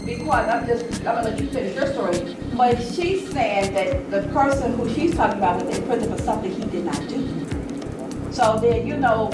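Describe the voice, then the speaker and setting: A middle-aged woman with a slightly high voice talks to an audience in an emphatic, preachy storytelling way. She is picked up from a distance in a very echoey room, with loud music behind her. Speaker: panel speaker leading a community discussion. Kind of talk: impromptu public talk to an audience. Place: large echoey indoor venue.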